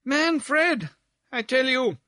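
A man's voice: sits high, slow, fairly bright tone, no echo, clean studio sound, voice pushed up. A man voicing a flirtatious, flighty society lady chattering at a dance in a storybook reading, exclamatory and affected. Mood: flighty, gossipy. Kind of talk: theatrical storybook character voice.

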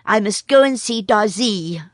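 A woman's voice: mid-range voice, animated, dry recording, studio quality, natural voice. A woman voicing a brave young male mongoose who speaks sharply and impatiently. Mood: determined. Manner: brisk, sharp and scolding.

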